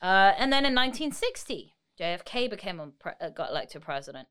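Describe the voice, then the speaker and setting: A woman's voice: medium pitch, big pitch swings, brisk, crowd noise. A woman co-hosting a podcast, retelling history in a casual, wry and informal way. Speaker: podcast co-host.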